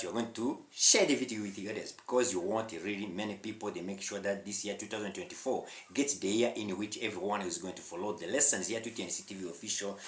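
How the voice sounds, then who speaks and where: A man's voice: fairly low pitch, fairly bright tone. A man from Rwanda with a Rwandan accent, teaching an online English lesson emphatically, with repetition and short questioning interjections. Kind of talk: online language lesson, addressing viewers directly. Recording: echoey room.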